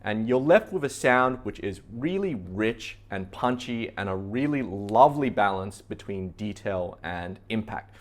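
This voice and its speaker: Aussie guy with a lowish voice doing a product review for a shop. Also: lively intonation, a little slow, few pauses. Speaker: store presenter.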